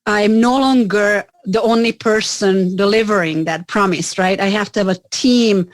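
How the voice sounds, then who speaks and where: A middle-aged woman from Hungary speaks as an interview guest, earnest and a little halting, looping back over her words and leaning on "you know". Her pitch sits in a middling range. The sound is dry and close, with no room around it. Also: no room sound. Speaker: business owner, guest. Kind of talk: casual interview conversation.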